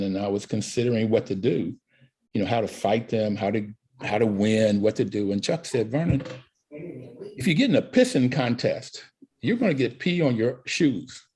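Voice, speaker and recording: A man's voice: fairly low pitch, mellow, slightly rough. A man hosting a talk show, telling anecdotes in a casual way with fillers. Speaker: radio show host.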